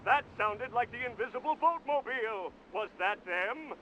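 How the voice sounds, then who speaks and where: An actor playing an announcer in a cartoon game, speaking in an official, declamatory manner. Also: male voice, very high-pitched, expressive, moderate pace, pushed and bright, a little hiss or hum, exaggerated voice, low-quality recording. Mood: official, stern. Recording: music behind the voice.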